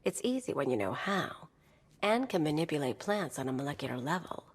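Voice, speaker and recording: A husky, breathy villainess, on the low side. She is aloof, seductive and sardonic, speaking with cool, sly ease in a video game. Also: sing-song, a little fast, exaggerated voice. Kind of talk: video game cutscene acting.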